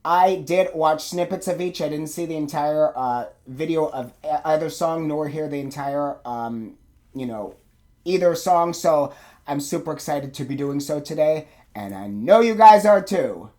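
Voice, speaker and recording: A man hosting a music reaction video, talking casually to camera while sore-throated and hoarse from a cold, with lots of fillers. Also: a little high, a little slow, warm tone. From United States. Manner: chatty, many fillers, apologetic and eager.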